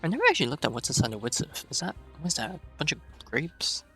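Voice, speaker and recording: A man's voice: a little high, very animated, quick pace, bright, forceful tone, soft background music. A man giving casual, offhand commentary while playing a video game, thinking aloud as he goes. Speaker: streamer.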